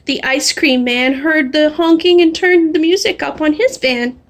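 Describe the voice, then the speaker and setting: High-voiced woman reading a picture book aloud. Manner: animated, drawing out refrains slowly. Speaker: storybook reader. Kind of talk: expressive read-aloud of a children's picture book.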